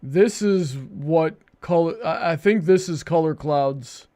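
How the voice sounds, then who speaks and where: A man hosting a livestream in casual banter, often halting as he thinks aloud. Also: fairly high pitch, warm tone. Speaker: livestream host.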